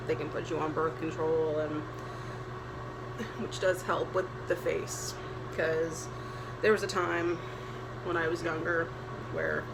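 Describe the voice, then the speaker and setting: A young woman with a deep voice for a woman, chatting candidly and plainly while getting ready at home. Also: noisy background. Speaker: vlogger. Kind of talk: casual get-ready-with-me chat.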